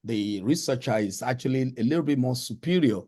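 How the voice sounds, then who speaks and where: Panelist: man, mellow tone, earnest, searches for words. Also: mid-range voice, animated, brisk. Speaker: panel guest. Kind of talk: panel discussion.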